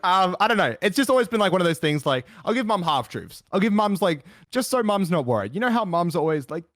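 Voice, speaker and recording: A man's voice: high voice, sing-song, fast, fairly bright tone, no room sound, clean studio sound. A man in his early twenties talking as a guest in a casual interview, rambling and restarting his sentences. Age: 20s. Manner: rambling, heavy use of like and restarts.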